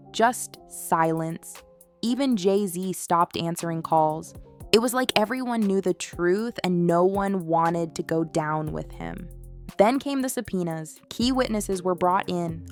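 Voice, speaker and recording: A woman reading a scripted, dramatic gossip exposé in short emphatic phrases, as a narrator. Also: fairly low pitch. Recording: music bed under the voice. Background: background music.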